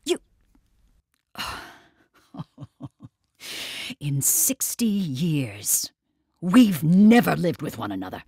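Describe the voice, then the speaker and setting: An actress playing a bitter, wounded elderly lover in an audio drama, terse and reproachful. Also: female voice, deep voice, very animated, takes it slowly, mellow, husky, no room sound, studio quality, exaggerated voice. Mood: shocked, indignant.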